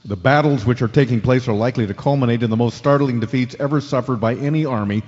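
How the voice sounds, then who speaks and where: A man acting as a steady radio news anchor during an alien invasion in a radio drama. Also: mid-range voice, fast, natural voice. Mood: grave, urgent. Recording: dull lo-fi audio with long reverb.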